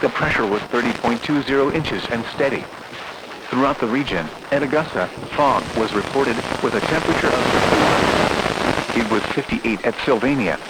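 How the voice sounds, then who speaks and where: Synthetic male weather announcer over noisy radio. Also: mid-range voice. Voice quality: synthetic text-to-speech voice. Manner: formal, impersonal, measured phrase-by-phrase delivery. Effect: radio broadcast. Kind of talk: scripted weather forecast and observation bulletin.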